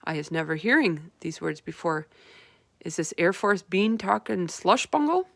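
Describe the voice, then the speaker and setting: A woman's voice: fairly low pitch, lively intonation, moderate pace, gravelly, fairly bright tone, dry recording, full character voice. A woman voicing a big, friendly old male giant who mangles his words, read aloud from a storybook. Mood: earnest. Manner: mangled words, folksy, earnest.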